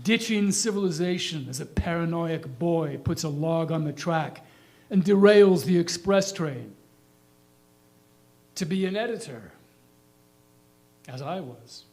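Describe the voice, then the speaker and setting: A man's voice: fairly high pitch, expressive, measured pace, long pauses, a little mellow. A man performing the dramatic monologue of a dead, bitter small-town newspaper editor in a declamatory, theatrical way. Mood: bitter, brooding.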